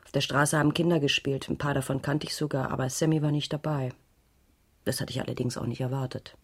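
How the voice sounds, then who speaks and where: An actress playing an anxious, defensive schoolteacher who gives hesitant, earnest testimony in a radio crime drama. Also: female voice, a bass voice, fairly even intonation, fast talker, slightly rough. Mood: hesitant recounting. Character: worried, defensive female schoolteacher giving testimony.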